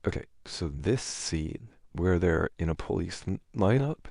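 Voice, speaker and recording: A man's voice: low voice, animated, measured pace, vocal fry, a little mellow, dry recording, clean studio sound. A man performing a casual boyfriend roleplay, speaking in a hesitant, conversational, self-deprecating way as an awkward, amiable partner.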